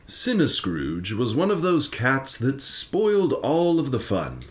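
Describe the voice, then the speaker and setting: A man's voice: medium pitch, huge pitch swings, slow. A man narrating a comic radio play as a dramatic storyteller, reading from a script.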